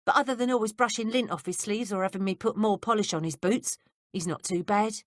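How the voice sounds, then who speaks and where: A woman's voice: medium pitch, fairly quick, dry recording, studio quality, voice pushed up, close to an everyday voice. A woman voicing a sly, gossipy young male servant with blunt working-class speech. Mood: confiding.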